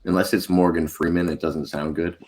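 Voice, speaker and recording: A man's voice: low-pitched, level tone, a little fast, a little mellow. An American man co-hosting a podcast, chatting casually and rambling, often laughing. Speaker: podcast co-host. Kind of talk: casual chat.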